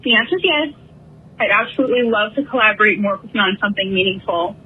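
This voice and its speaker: A woman's voice: medium pitch, quick pace, husky. A woman leaving an earnest voicemail message, reading from her notes. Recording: tinny phone voicemail.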